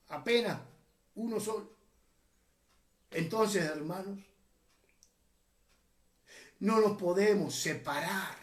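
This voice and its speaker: A man preaches in an echoey room, his high voice swinging widely between loud peaks and soft asides. He teaches with passion and keeps calling the congregation brothers. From Uruguay. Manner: impassioned, rises and drops sharply, often says "hermanos". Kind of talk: teaching sermon.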